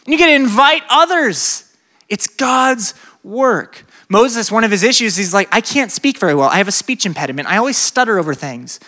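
A young adult man, a pastor with a slight speech impediment, preaching a lively sermon to students, swinging from loud bursts to hushed stretches. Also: sits high, moderate pace. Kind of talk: sermon to a college crowd.

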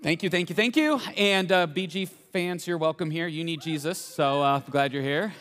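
A pastor in his forties preaching a sermon, energetic and joking, full of rhetorical questions. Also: a little high, moderate pace. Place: large church auditorium. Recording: echoey church hall.

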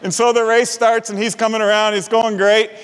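High-voiced male pastor in a reverberant hall. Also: a little fast, smooth. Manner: animated storyteller, chuckles often. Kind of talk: sermon with personal storytelling.

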